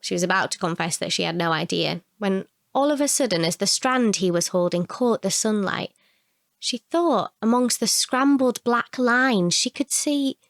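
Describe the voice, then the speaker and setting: Female narrator for short fiction, mid-pitched, expressive scripted storytelling, very dry recording. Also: normal speed, creaky, no room sound.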